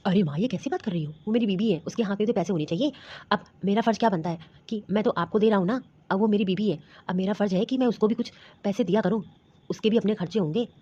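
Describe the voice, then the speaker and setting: A woman's voice: mid-range voice, fast, dark tone, breathy edge, natural voice. A woman acting as an earnest young husband and son, defending his choices with reasoning and a defensive tone. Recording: dull lo-fi sound.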